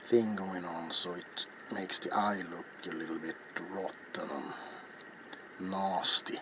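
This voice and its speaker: Slow low-voiced middle-aged man, noisy room. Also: fairly even intonation. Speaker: ASMR video maker. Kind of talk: ASMR craft tutorial, casual narration. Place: small cluttered indoor home workroom. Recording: clear background room noise.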